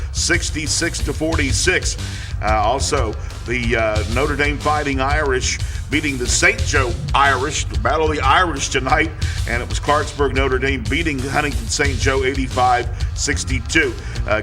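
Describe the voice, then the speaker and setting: A man reading high school basketball scores on a live radio show in a steady, businesslike way. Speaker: radio sportscaster.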